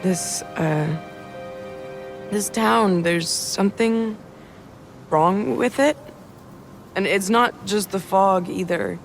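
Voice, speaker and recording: A woman's voice: medium pitch, slow, pauses often, soft background music. A woman playing a nervous, lost young woman searching for her mother in a video game, speaking timidly with hesitant, faltering phrases. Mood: nervous, uneasy.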